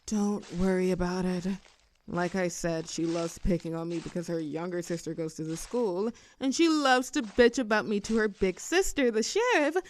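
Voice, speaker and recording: A woman's voice: medium pitch, slight background noise, natural voice. A young woman playing a rebellious teenage girl bully in an audio roleplay, with a sarcastic, teasing, brash manner. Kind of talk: scripted roleplay audio drama.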